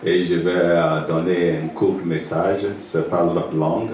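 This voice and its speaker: Male pastor, Ghanaian accent, native English speaker, low-pitched, slow hesitant delivery, distant mic in a cavernous church. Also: fairly even intonation, a little mellow, lo-fi sound. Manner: halting, hesitant French with fillers. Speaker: visiting pastor preaching. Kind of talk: sermon with pauses for interpreter. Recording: echoey church hall from far off, faint noise.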